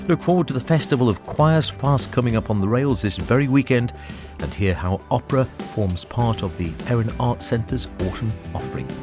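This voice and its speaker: A man presenting a radio arts show in a scripted style as its host. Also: mid-range voice.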